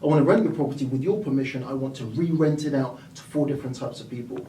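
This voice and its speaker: A man guesting on an interview show, telling stories with lively quoted voices, from the United Kingdom. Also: medium pitch, fast talker, slight background noise. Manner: animated storyteller, acts out quoted voices. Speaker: property investor and mentor. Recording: echoey room, mic at a distance.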